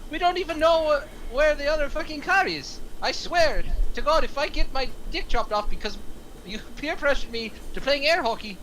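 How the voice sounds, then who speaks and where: A man's voice: extremely high pitch, animated, normal speed, a bit bright, a little hiss or hum, rough recording. A man playing an anxious, rule-following criminal henchman in a film table read, exasperated, defensive and sweary.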